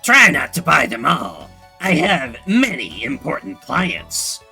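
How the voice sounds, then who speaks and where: A man's voice: mid-range voice, huge pitch swings, slow, creaky, bright, forceful tone, slightly rough, soft background music, heavily acted. A man voicing a pompous, impatient gamer nerd who trades in stilted, formal phrases with a harsh, nerdy voice. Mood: haughty, impatient. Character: pompous, haughty, socially awkward male gamer nerd trader.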